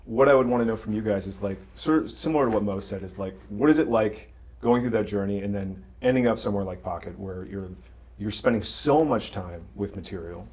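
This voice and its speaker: A man's voice: fairly low pitch, brisk, darkish tone. A man speaking casually and conversationally as a host in a panel discussion. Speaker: podcast host.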